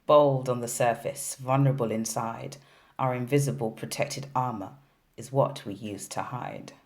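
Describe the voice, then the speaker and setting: A woman reading a poem aloud in a measured recitation style. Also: very deep voice, steady tone. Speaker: poetry reader.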